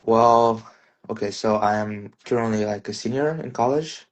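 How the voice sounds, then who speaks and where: A man's voice: lowish voice, steady tone, normal speed, highs cut off. A man in his twenties from Uzbekistan, a native Russian speaker, chatting easygoing as a guest pianist over a phone live stream. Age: 20s. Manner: easygoing, self-deprecating, filler-heavy. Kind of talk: casual interview chat.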